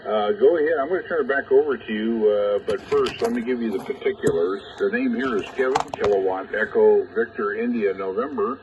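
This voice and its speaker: A lowish-voiced American man talks chattily as a ham radio operator. His voice comes through a narrow, phone-like radio channel with a little static behind it. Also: fairly quick, hardly any pauses. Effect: heard over ham radio. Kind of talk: chatty on-air radio contact with phonetic spelling. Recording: muffled narrow radio audio, faint static. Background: faint radio noise.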